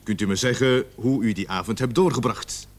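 An actor in a radio play, a man playing a calm police interrogator who is measured, dryly sceptical and insinuating. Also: medium pitch, natural voice. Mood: calm, prodding. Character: calm, probing, insinuating male police officer interrogating suspect.

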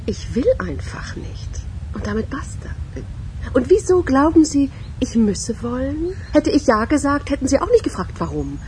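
An actress playing a cool, sharp-tongued woman with a dry, ironic manner in a radio drama. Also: high voice, big pitch swings, moderate pace, slight background noise. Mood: curt, defiant.

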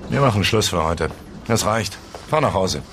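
A man's voice: fairly low pitch, expressive, normal speed, a bit bright, slight background noise. A man acting a dogged, sceptical newspaper reporter with dry humour and a laconic, questioning manner in a naturalistic German radio drama. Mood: dry, curt. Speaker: actor.

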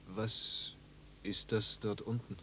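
An actor playing a tormented, melancholy young wanderer in a 1950s radio drama, brooding and anguished. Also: male voice, fairly low pitch, level tone, slow pace, sharp tone. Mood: subdued, uneasy. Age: young adult. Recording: old radio recording with hiss and hum.

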